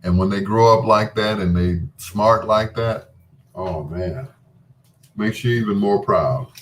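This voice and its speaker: A man's voice: fairly low pitch, a little slow, slight background noise. A middle-aged man hosting a radio show in a casual late-night chat, laid-back, folksy and teasing with his listeners. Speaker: radio host.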